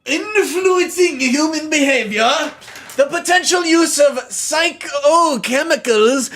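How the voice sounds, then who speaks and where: A man's voice: extremely high pitch, expressive, takes it slowly, fairly bright tone, soft background music, voice pushed up, heavily acted. A man putting on a mock German accent to read out secret in-game files in a formal, clipped recital. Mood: stiff, official. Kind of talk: dramatic reading of in-game documents.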